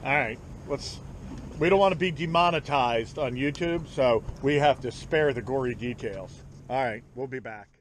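A man's voice: mid-range voice, moderate pace, poor audio. A middle-aged man with a Southern US accent, a charter fishing captain chatting casually and jokingly with salty language out on a boat. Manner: folksy, salty-tongued joking. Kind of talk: casual chat while fishing. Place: outdoors on an open fishing boat at sea. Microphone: action camera on the boat. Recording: outdoor boat and engine noise.

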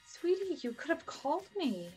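A woman's voice: very high-pitched, sing-song, normal speed, a little mellow, soft background music. A young woman playing a doting, blunt, sassy fifty-year-old mother in a play reading over a video call. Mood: tender. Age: young adult. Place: home bedroom, indoors. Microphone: video call on computer.

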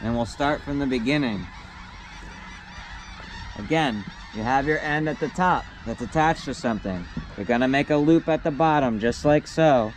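Young man, patient deckhand-teacher, plain mid-pitched voice, outdoor boat recording with faint background sound. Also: moderate pace, a little hiss or hum, low-quality recording. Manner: patient, step-by-step, plain-spoken. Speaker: sailing instructor. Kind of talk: hands-on knot-tying demonstration. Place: outdoors on a wooden boat deck by the water.

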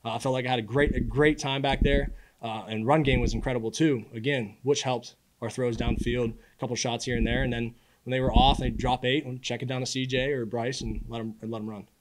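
A man in his early twenties, a college quarterback, answering press questions in a casual, earnest way with halting repeated words. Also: mid-range voice, steady tone, poor audio. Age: early 20s. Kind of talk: postgame press conference answers. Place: indoor press room at a podium. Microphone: podium microphone.

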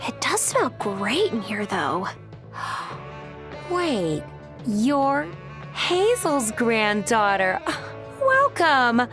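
Welcoming young female cafe server, high and unhurried, game character. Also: very animated, music in the background, exaggerated voice. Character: warm, hospitable young woman serving at a cafe. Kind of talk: video game voice acting.

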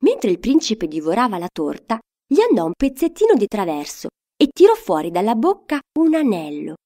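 A woman's voice: medium pitch, wildly swooping pitch, moderate pace, warm tone. A woman telling a fairy tale to children, reading a scripted story expressively as narrator.

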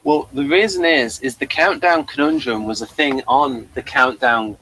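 Give this to a man with a medium-pitched voice, hosting an informal review. He rambles a little and hesitates as he works through his thoughts in a chatty style. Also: normal speed, bright, forceful tone, slight background noise, rough recording. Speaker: review show host. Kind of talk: casual review chat.

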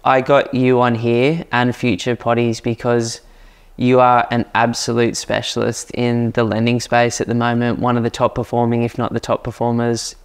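A man's voice: medium pitch, monotone, normal speed. An Australian man hosting a property podcast, talking in an enthusiastic, chatty way with plenty of fillers and a light, youthful voice. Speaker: podcast host. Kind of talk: casual podcast chat.

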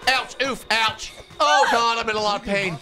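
A man's voice: very high voice, normal speed, pushed and bright, voice pushed up, full character voice, low-quality recording. A man voicing a whiny, panicking camp counselor who squeals and yelps in pain in a game.

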